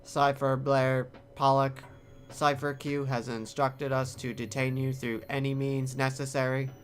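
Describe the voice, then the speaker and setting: Someone voicing an obedient feline robot in a visual novel game. The delivery is low-pitched, stilted and monotone, formal and literal, with music playing behind it. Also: a little slow, close to an everyday voice. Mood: flat, formal. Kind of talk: visual novel read-aloud, in character.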